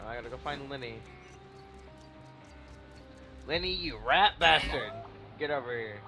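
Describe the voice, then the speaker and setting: Young male gamer, average pitch, casual commentary, joking, sweary, game music behind. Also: expressive, brisk, gravelly. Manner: sweary, joking, reads game text aloud.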